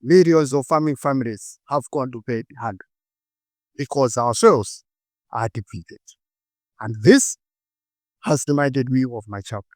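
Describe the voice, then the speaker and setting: A man from northern Malawi with a Malawian accent, giving a rehearsed inspirational speech in short, deliberate phrases with dramatic pauses. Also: medium pitch, darkish tone, dry recording. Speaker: speaker giving a prepared talk. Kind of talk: rehearsed inspirational public speech with personal storytelling.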